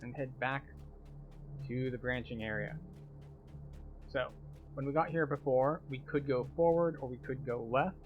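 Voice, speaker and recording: A man with a mid-range voice gives a casual game walkthrough. He explains each step like a tour guide, with clearly audible music behind him. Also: mid-range voice, unhurried, pauses often, narrow, tinny audio.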